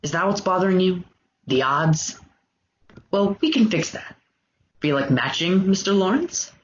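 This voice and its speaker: A man's voice: high voice, sing-song, unhurried, voice pushed up. Man acting a menacing karate sensei, noisy lo-fi audio. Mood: taunting. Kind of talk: film scene table read. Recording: dull, noisy home call audio.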